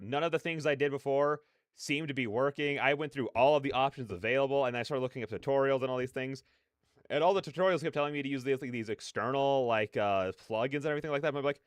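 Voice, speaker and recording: Male streamer, mid-pitched clean smooth voice, dry close sound, rambling and slangy. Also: dry recording. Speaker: livestream host. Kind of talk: casual reaction livestream chat.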